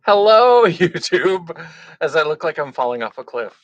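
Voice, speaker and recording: A man's voice: a little high, sing-song, normal speed, a little hiss or hum. Froggy-voiced man chatting on a livestream. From North Dakota. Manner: chatty, swears casually, greets chat viewers. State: froggy, phlegmy throat. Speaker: drag performer hosting a live unboxing stream. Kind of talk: casual livestream chat.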